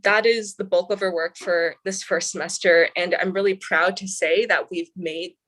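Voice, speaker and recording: A woman's voice: fairly low pitch, steady tone, normal speed, fairly bright tone, rough recording. A young woman from the San Francisco Bay Area, a student senator, giving earnest, articulate interview answers with frequent "um" fillers. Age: young adult.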